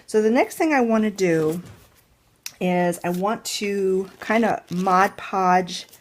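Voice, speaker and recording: Slow-talking congested woman. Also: medium pitch, a little hiss or hum. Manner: thinks aloud step by step, unhurried. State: congested nose. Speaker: crafting channel host. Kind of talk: casual DIY tutorial. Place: home craft table.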